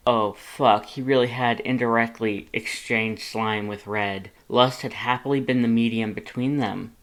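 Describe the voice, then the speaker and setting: An expressive audiobook reader of fan fiction, the voice sitting at a middle pitch. The narration is dramatic and intense, shaped like a scripted story. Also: measured pace.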